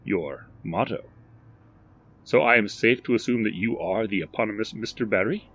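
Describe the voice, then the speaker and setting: A man voicing an eerie, overly formal little male stranger who speaks slowly and precisely. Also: fairly low pitch, a bit bright. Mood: measured.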